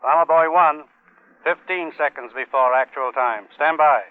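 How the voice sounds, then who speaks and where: Male actor as military test controller, higher-pitched, clipped and formal, muffled vintage radio drama recording. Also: moderate pace, no echo, lightly acted. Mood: stern, procedural. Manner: clipped, formal, repeating commands. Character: military countdown announcer calling an atomic test, clipped and impersonal. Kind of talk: 1950s radio drama acting.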